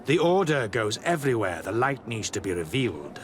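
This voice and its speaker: An actor playing a zealous, righteous missionary monk in a video game, with a fervent, preachy delivery. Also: male voice, medium pitch, big pitch swings, fairly quick, slight background noise, lightly acted. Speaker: missionary brother.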